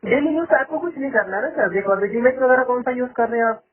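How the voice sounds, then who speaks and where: A man making an insistent, repetitive sales pitch over the phone, often adding tag questions. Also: fast talker. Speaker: trading company sales caller. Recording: muffled band-limited phone call recording.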